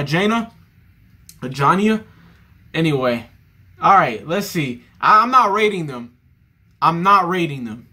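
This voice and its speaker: A man's voice: fairly high pitch, bright, forceful tone. A young man giving casual, joking reaction commentary over a video, often repeating himself. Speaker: reaction streamer.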